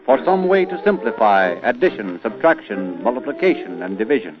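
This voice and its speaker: A man reading scripted narration and announcements as an old-time radio announcer, in formal, emphatic, measured phrases. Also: mid-range voice, big pitch swings. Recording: muffled vintage radio recording, music behind. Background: music.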